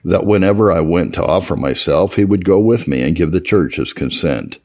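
A man with a low-pitched, creaky voice narrates a historical first-person account. His delivery is formal and measured, with lively rises and falls in pitch that give the old prose expression. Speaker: audiobook-style reader of historical religious texts. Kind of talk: scripted narration, reading an old first-person memoir aloud.

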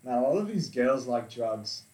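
A man's voice: mid-range voice, big pitch swings, a little slow, a little mellow, recorded from a distance. An eighteen-year-old man in casual, laid-back banter, swearing freely as he reads profiles aloud. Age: young adult. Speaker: co-host reading dating profiles. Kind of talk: casual chat. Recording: echoey room.

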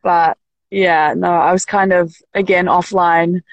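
A woman's voice: lowish voice, steady tone, dry recording, highs cut off. Rambling young New Zealand woman guest. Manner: rambling, halting, laughs easily. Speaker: podcast guest. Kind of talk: casual interview. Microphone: video call.

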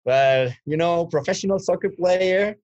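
A man's voice: high-pitched, measured pace, darkish tone, dry recording. A man in his twenties from Chile, telling his life story as an interview guest in a rambling way with many restarts. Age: 20s. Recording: dull lo-fi dry sound.

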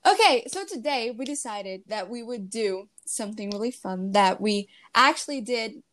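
A woman's voice: fairly high pitch, animated, moderate pace, a bit bright. A teenage girl from Brazil, not a native English speaker, co-hosting a casual podcast and chatting with lots of fillers and giggles. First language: Portuguese. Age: teens. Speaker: podcast co-host. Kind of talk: casual chat.

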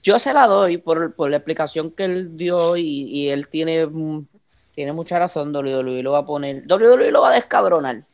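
A man's voice: on the high side, moderate pace, creaky. A man, co-hosting a casual wrestling talk show and drawing out his phrases. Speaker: wrestling podcast co-host. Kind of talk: casual chat.

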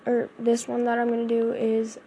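A woman's voice: on the high side, monotone, moderate pace, mellow, slight background noise. A woman chatting casually and rambling between song covers, her throat sore from singing the day before. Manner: chatty, rambling, lots of fillers. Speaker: amateur cover singer.

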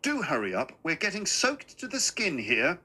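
A man voicing a suspicious, sharp-tongued mother in a story, with a curt, haughty, questioning manner. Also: fairly high pitch, wildly swooping pitch, moderate pace, slightly rough, pitched above the performer's own voice, heavily acted. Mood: impatient.

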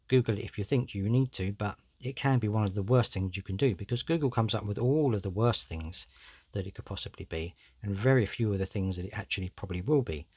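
A man's voice: on the low side, mellow, husky, no echo. A man, hosting a solo podcast in a candid, conversational way, often saying "you know". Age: 40s. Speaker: podcast host.

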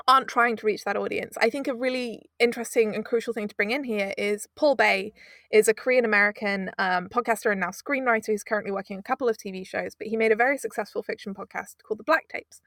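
A woman talks as an interview guest, pressing her points with emphatic rhetorical questions. Her voice sits fairly high. The recording is close and dry, with no room sound. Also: fairly bright tone, dry recording. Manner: fast, emphatic, rhetorical asides. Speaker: audio drama producer, guest. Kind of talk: conversational interview.

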